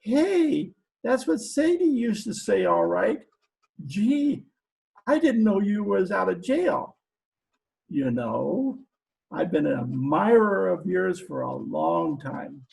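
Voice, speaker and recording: A man's voice: sits high, sing-song, takes it slowly, gravelly, a little mellow, slight background noise, exaggerated voice, poor audio. A man playing a dim, lovestruck, trigger-happy gangster with slangy, uneducated talk in a 1940s-style radio comedy. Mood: smitten, eager. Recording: home video-call recording.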